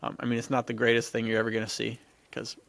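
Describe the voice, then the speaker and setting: Young male co-host, mid-pitched with vocal fry, enthusiastic slangy storyteller. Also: monotone, quick pace, fairly bright tone, dry recording. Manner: slangy, enthusiastic, says "dude" often. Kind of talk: casual chat, storytelling.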